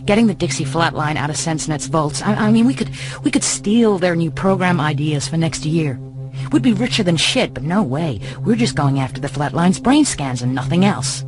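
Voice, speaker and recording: A tough, blunt streetwise mercenary. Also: female voice, deep voice, animated, moderate pace. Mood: cool, confiding. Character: tough, streetwise female mercenary with cool nerve. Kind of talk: radio drama acting. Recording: music and street noise behind.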